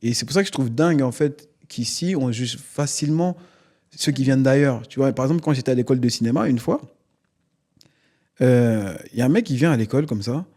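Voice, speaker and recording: A man's voice: medium pitch, a little fast. A man whose first language is not French, telling stories in a casual podcast studio interview and animatedly acting out the people he quotes. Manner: animated, acts out quoted voices, constant "tu vois". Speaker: interview guest, stage performer. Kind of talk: casual storytelling conversation.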